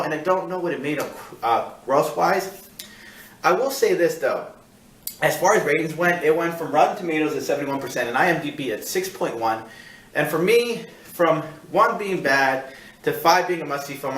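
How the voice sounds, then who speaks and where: Man in echoey room, rambling film review. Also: fairly high pitch. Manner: rambling, repeats himself, halting search for words. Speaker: film review show host. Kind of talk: casual film review monologue. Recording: echoey room, distant mic.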